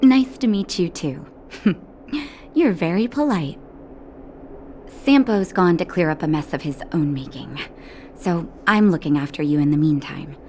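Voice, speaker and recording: An actress voicing a calm, caring woman doctor in a video game, speaking in a gentle, reassuring way. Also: on the low side, a little slow, pauses often, slight background noise. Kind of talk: scripted game dialogue. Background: music.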